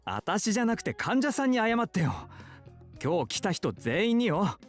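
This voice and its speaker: Effeminate, fast-talking, expressive young man. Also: sits high, faint music behind. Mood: angry. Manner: soft feminine phrasing, emphatic and expressive. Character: effeminate, emotional young man, fretful and scolding. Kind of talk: Japanese visual novel voice acting.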